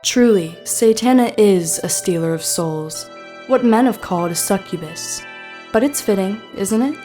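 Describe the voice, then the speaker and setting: An actress playing the devil's daughter, a self-possessed and predatory succubus, in an audio drama. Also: female voice, mid-range voice, unhurried, mellow, faint music behind, close to an everyday voice. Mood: gloating, menacing.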